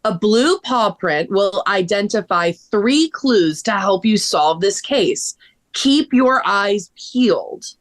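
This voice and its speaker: A woman playing an excitable, eager young female amateur sleuth, expressively, in a children's mystery table read. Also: medium pitch, slow pace. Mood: mysterious, measured. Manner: expressive, exclamatory.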